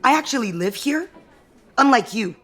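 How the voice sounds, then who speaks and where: An actress playing a proud, bitter, scheming rich wife in an audio soap opera, haughty and cutting. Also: female voice, fairly high pitch, lively intonation, normal speed, a bit bright. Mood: haughty, accusing.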